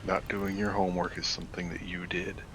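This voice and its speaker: A man's voice: sits low, level tone, brisk, fairly bright tone. A man chatting casually as a co-player in a game, over noisy audio. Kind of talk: casual chat while gaming.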